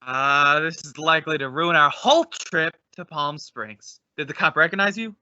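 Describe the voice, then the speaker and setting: A man's voice: fairly high pitch, moderate pace, bright, forceful tone, no echo, dull audio. A man playing the bossy straight man of an old-time radio comedy duo, explaining and scolding in exasperation. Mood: alarmed.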